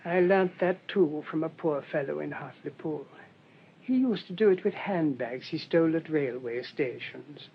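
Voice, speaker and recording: An actor playing a mild, shrewd English priest in a radio drama, speaking in a gentle, rambling, politely hesitant way. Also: male voice, normal speed, vocal fry, husky. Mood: mildly explaining. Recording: dull lo-fi radio recording, rumbling noise.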